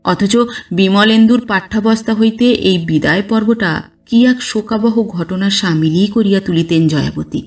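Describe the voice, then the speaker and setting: A woman reading a literary story aloud as a narrator, with expressive, dramatized delivery. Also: medium pitch, normal speed, recorded from a distance. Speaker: audiobook narrator. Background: background music.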